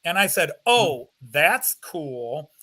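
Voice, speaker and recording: American man, 50s, bright and a touch high, self-mocking streamer banter. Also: sing-song, takes it slowly. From United States. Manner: joking, self-deprecating. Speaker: model railway streamer. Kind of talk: casual chat on a live call.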